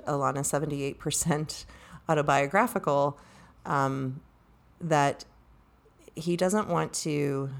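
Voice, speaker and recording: A woman co-hosting a book discussion, thinking aloud in a casual, reflective way. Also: deep voice.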